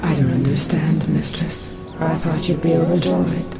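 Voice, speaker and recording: A woman's voice: low-pitched, fairly even intonation, moderate pace, gravelly, over loud music. A woman playing a dutiful undead servant in a video game, subdued and deferential. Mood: concerned. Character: dutiful undead banshee handmaiden, subdued and puzzled.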